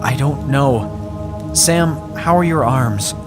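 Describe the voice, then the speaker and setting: A man's voice: medium pitch, slow pace, loud music behind the voice, voice pushed up, close to an everyday voice. A man playing an earnest older teenage scout taking charge in a horror story, urgent and reassuring. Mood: anxious, resolute.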